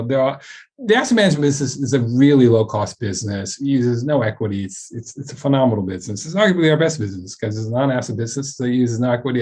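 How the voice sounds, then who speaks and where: A man's voice: medium pitch, a little mellow. A man speaking as a company chairman on a web earnings call, giving a semi-scripted business presentation and often repeating words and restarting.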